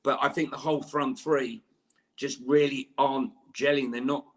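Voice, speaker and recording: A man co-hosting a live fan podcast, excitable and stammering through his points. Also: mid-range voice, normal speed. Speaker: podcast co-host.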